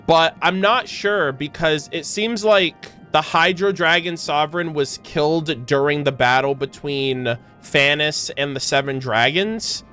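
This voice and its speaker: A man's voice: a little high, a bit bright. A man hosting a gaming reaction, reading lore aloud and commenting on it casually.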